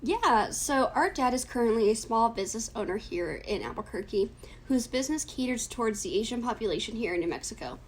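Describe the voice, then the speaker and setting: A woman's voice: a little high, animated, a little fast, husky. A woman in her early twenties, a native Cantonese speaker raised in the United States, earnestly reading prepared answers in a class project interview. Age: 20s. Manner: earnest, scripted, reflective.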